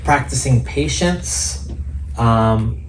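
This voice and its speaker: Relaxed guy cohosting a podcast, teases his buddy, roomy echo, mic kinda far. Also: mid-range voice, extremely slow. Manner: easygoing, teasing, many fillers. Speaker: podcast co-host, counselor. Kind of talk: casual chat. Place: studio office. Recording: echoey room, mic at a distance, faint noise.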